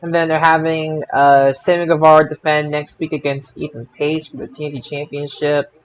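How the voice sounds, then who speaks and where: A man co-hosting a podcast in casual chat, with a halting, tentative delivery. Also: fairly high pitch, level tone, slight background noise, rough recording. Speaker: podcast co-host. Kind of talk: casual wrestling review chat.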